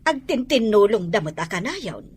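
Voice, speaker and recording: A woman's voice: mid-range voice, sing-song, normal speed, slightly rough. A woman playing a practical middle-aged mother of a married son in an audio comedy drama. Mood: dismissive.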